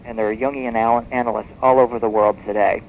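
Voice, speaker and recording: A man's voice: mid-range voice, level tone, quick pace. Man on a muffled, noisy radio tape. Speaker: guest expert. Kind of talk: radio interview, explanatory storytelling. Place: radio broadcast interview.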